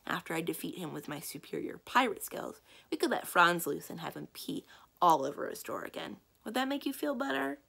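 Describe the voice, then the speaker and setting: A woman's voice: on the low side, animated, a little fast, creaky, fairly bright tone, slightly rough, exaggerated voice. Woman reading as a feisty protective young boy. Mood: defiant, consoling. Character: protective, feisty young boy, big brother playing pirate.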